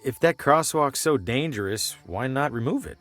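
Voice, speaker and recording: Man acting curious, wry salesman, animated pitch. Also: normal speed, faint music behind, close to an everyday voice. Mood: inquisitive. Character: curious, hesitant male traveling salesman, wry and bluffing. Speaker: protagonist character. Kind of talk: video game voice acting, dialogue and musing asides.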